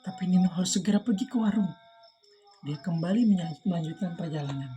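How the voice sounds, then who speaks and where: A woman's voice: fairly low pitch, quick pace, dark tone, faint music behind. A woman reading a children's story aloud expressively, engaging young listeners.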